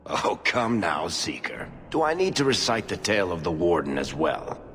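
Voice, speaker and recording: A man's voice: deep voice, big pitch swings, normal speed, slight background noise, exaggerated voice. A man playing a wry, roguish, unflappable storyteller in a fantasy game, sardonic and theatrical. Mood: wry, teasing. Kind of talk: fantasy video game acting, dramatic narration. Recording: loud music behind the voice.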